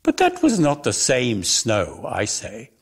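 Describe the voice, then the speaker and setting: A man reads lyrical prose as a theatrical storyteller. His voice is gravelly and husky, and it swings expressively through its range at a slow, measured pace. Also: medium pitch, huge pitch swings. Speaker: reader of a dramatic story.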